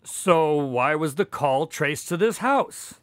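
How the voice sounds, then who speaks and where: Affable, wry male doctor sidekick, played by an actor: higher-pitched, very expressive, chatty radio-drama storyteller. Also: slow, clear, resonant tone, dry recording, studio quality. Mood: wry, puzzled. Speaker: narrator-character of a radio drama. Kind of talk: scripted radio drama narration and dialogue.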